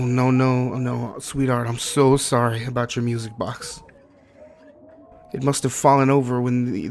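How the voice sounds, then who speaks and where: A man acting as a grieving, guilt-ridden partner in an intimate audio roleplay, speaking tenderly and haltingly to a sweetheart. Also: mid-range voice, lightly acted. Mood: frightened, shaken. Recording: clearly noisy track with ambience.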